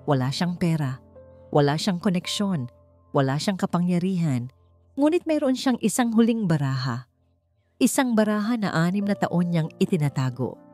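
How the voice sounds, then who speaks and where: A woman narrates a scripted romantic drama. Her voice sits on the low side for a woman, with a storyteller's dramatic delivery. Soft music plays faintly behind her. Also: very animated, moderate pace.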